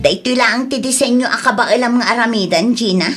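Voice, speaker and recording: A woman's voice: a little high, fairly quick, over loud music, natural voice. A woman with an Ilocano accent acting an insistent, spendthrift young mother in an audio drama. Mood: demanding.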